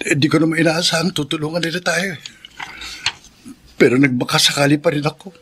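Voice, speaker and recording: An actor playing an emotional, remorseful younger brother in a Filipino radio drama, earnest and pleading. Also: male voice, a little high, fairly even intonation, a little fast, a bit bright, slight background noise, natural voice. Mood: dejected. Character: remorseful, eager younger brother leaning on his sister.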